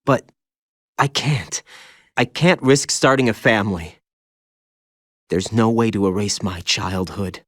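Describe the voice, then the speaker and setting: A haunted, brooding young soldier. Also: male voice, on the low side, slow, long pauses, fairly bright tone, dry recording, clean studio sound. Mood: resigned sorrow. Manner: anguished, confessional, brooding. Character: haunted young male soldier, former child soldier, bitter and guilt-ridden. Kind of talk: dramatic video game cutscene acting. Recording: music behind the voice.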